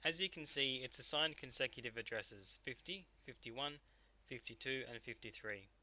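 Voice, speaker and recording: A man narrating a software tutorial step by step as a technical instructor. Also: mid-range voice, normal speed.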